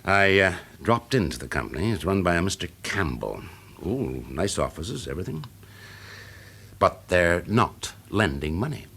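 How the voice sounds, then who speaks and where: An actor playing a shrewd, wry fire investigator with a British accent in a classic radio drama, explaining things drily. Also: male voice, sits low, very animated, moderate pace, creaky, fairly bright tone, slightly rough, full character voice. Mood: explanatory, persuasive. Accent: British English.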